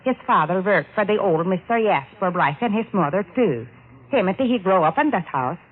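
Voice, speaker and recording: A woman playing a nervous, eager housemaid with a foreign accent and halting, broken English in radio drama. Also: mid-range voice, lively intonation, slightly rough. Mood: helpful, hesitant. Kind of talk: 1940s-50s radio drama acting. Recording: tinny, phone-like old radio recording.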